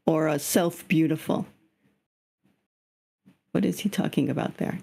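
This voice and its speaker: A woman's voice: low voice, measured pace, gravelly, mellow, husky. A woman leading an online reading group on a video call. Her voice is slightly scratchy, and she explains patiently and keeps asking the group questions. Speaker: study group leader.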